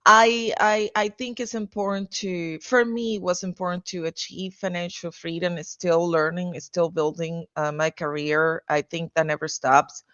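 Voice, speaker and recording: Woman from Venezuela, lower voice, hesitant, many fillers, dull dry video-call sound. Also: flat delivery, moderate pace, hardly any pauses, a bit bright, no room sound. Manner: hesitant, many fillers and restarts, laughs easily. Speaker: guest, realtor and author. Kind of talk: casual interview answers.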